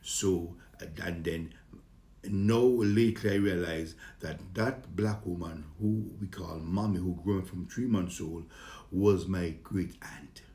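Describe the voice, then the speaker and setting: A man's voice: low-pitched, slow pace, mellow, reverberant. A man with a Vincentian accent, a comedian introducing himself to his fans in a jovial, rambling way. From Saint Vincent and the Grenadines and the British Virgin Islands. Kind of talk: casual self-introduction to fans.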